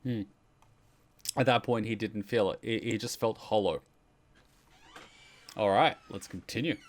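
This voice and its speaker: A man's voice: on the low side, a little slow. Man giving casual, emphatic commentary. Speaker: reaction video host.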